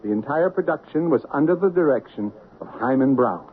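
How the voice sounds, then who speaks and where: A man reading the cast credits in a measured, formal announcer manner. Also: mid-range voice, lively intonation, slight background noise. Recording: tinny old radio broadcast.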